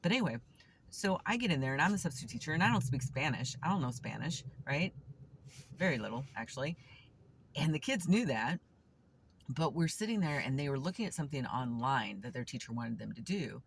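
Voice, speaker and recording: A woman's voice: a bass voice, very animated, a little fast, creaky, a little hiss or hum. A woman vlogger and substitute teacher talking through a casual, reflective morning monologue, candid and thinking aloud with frequent restarts. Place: seated, waiting outside a store.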